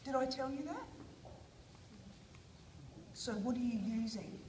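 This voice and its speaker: A woman's voice: on the high side, takes it slowly, echoey room, background noise. Australian woman teacher prompting kids. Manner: questioning, prompting, encouraging. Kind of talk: guided reading lesson with children. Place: classroom.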